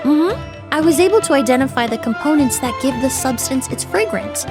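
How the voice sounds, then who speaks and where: A woman voicing a composed, polite young forensic cleaner and perfumer in an anime-style game, explaining things in a measured, precise way. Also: high voice, lively intonation. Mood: measured, thoughtful. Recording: studio voice over game music.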